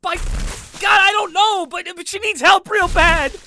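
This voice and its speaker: A woman's voice: extremely high pitch, fairly even intonation, measured pace, pushed and bright, a little hiss or hum, exaggerated voice. An actress playing a young woman in a frantic panic, screaming for help after an attack in the woods. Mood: panicked.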